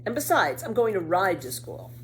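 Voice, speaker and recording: A woman's voice: medium pitch, very animated, normal speed, a little hiss or hum, poor audio. A woman voicing a bold, cheeky little girl in a children's storybook, emphatic and defiant.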